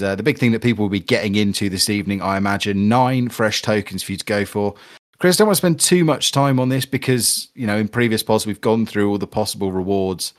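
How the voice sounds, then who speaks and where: A man hosting a casual gaming podcast, chatty and upbeat as he reads plugs and talks strategy. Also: on the low side. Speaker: podcast host. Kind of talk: casual podcast chat about a football video game.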